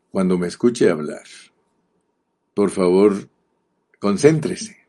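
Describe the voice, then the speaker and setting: A middle-aged man from Guatemala with a Guatemalan accent delivers a sermon in an earnest, emphatic way. His voice sits very low and sounds dark and mellow. He often repeats a word slowly to drive a point home. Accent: Guatemalan Spanish. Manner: earnest, emphatic, repeats key words, slows down to stress them. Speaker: preacher.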